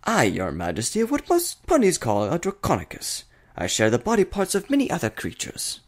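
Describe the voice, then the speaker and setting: A man voicing an eccentric, cheeky chimera prince from a fantasy story, theatrical and teasing, with a smooth voice. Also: a little high, wildly swooping pitch, normal speed, voice pushed up, exaggerated voice. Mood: cheerful, breezy. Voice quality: smooth voice with an oddly placed accent.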